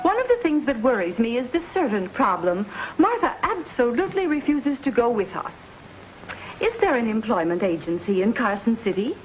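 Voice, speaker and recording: Fluttery society matron, lively high voice. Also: female voice, moderate pace. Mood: anxious. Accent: American. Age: middle-aged. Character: fretful, proper society wife and mother. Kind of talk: 1950s television western acting. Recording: dull vintage TV soundtrack, faint music.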